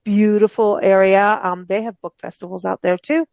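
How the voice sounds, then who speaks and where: A woman's voice: medium pitch, fairly even intonation, normal speed, creaky, a little mellow, no echo, clean studio sound. Chatty woman hosting a radio interview. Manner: talkative, rambling, informal. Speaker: host of a books radio show.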